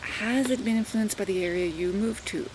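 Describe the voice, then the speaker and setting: A woman's voice: mid-range voice, moderate pace. An older woman from Canada with a Southern Ontario accent, chatting casually to camera as a vlogger and teacher, rambling with many fillers and asides. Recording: home recording with clear background noise.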